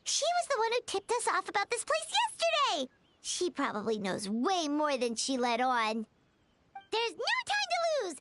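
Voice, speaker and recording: A woman voicing a tiny, excitable floating girl companion in a game, talking in bouncy bursts with loud exclamations. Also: extremely high pitch, moderate pace, background noise, exaggerated voice. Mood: chattering. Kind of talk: game cutscene voice acting. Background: faint game music.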